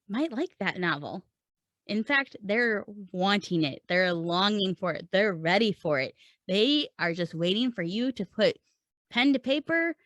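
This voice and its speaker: A woman's voice: a little high, a bit bright, no echo, clean studio sound. A woman podcast host coaching writers in a conversational, emphatic way, putting questions and points straight to the listener. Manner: emphatic, rhetorical, addresses listener directly. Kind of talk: conversational instructional podcast talk.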